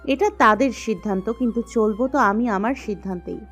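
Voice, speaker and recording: A woman's voice: on the high side, brisk, close to an everyday voice. Actress as firm, stubborn young woman over music. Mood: firm, resolute. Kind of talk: Bengali audio story dialogue. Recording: music bed under the voice.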